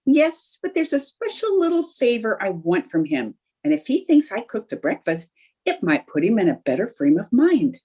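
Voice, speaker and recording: A woman playing a scheming, excitable young society housewife in a theatrical radio sitcom style. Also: medium pitch, moderate pace, husky. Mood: coaxing, conspiring. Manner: coaxing, theatrical. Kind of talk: old-time radio sitcom acting.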